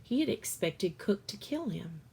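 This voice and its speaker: A woman reads a storybook aloud at a slow, unhurried pace. Her narration is expressive and uses dramatic pauses. There is faint noise behind the voice. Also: medium pitch, gravelly. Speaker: storyteller reading a book aloud. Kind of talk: expressive read-aloud narration of a children's novel.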